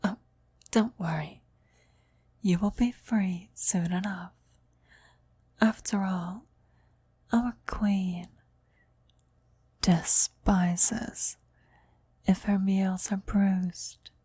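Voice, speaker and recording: Reverent cultist servant, voiced by a woman: very breathy whisper, slow hushed delivery, close dry ASMR sound. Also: mid-range voice, steady tone, dry recording. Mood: serene, fanatical. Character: devoted female cultist, eerily reverent servant of demon queen. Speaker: voice actor in an audio roleplay. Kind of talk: whispered ASMR roleplay acting.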